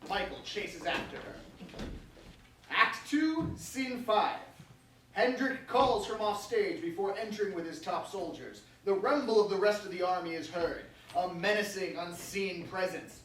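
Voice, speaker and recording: A man's voice: high-pitched, measured pace, fairly bright tone. A man narrating stage directions from a script at a staged play reading. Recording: very echoey room, distant mic, hiss.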